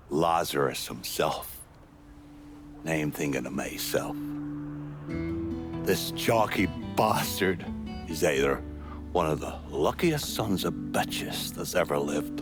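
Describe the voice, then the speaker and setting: Very deep, slow Irish gangster. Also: male voice, full character voice. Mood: menacing, brooding. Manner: slow, brooding, drawn-out delivery. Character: bitter, foul-mouthed Irish gangster, darkly amused, brooding. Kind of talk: cinematic video game acting. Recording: music behind the voice.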